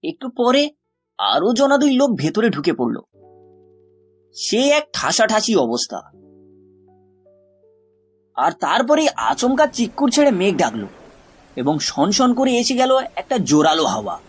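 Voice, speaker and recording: A man narrating a spooky cartoon tale expressively, with hesitant pauses. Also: sits high, wildly swooping pitch, breathy edge. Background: music.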